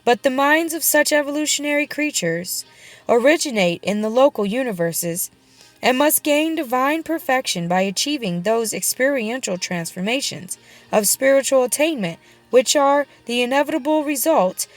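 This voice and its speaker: A woman reads a religious book aloud as an audiobook narrator, solemn and measured, pausing deliberately between phrases. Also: a little high, animated, faint music behind. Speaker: audiobook reader. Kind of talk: scripted reading of a religious book.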